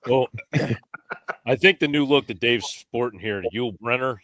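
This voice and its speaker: A man's voice: mid-range voice, unhurried, a bit bright, no room sound. A man co-hosting a podcast, joking and ribbing the others in casual banter. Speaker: podcast co-host.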